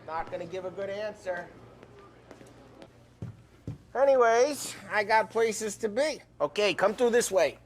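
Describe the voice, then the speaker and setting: A man's voice: high-pitched, unhurried, long pauses. An actor playing a cocky, teasing male mobster in a video game. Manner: wisecracking, teasing, laughs loudly.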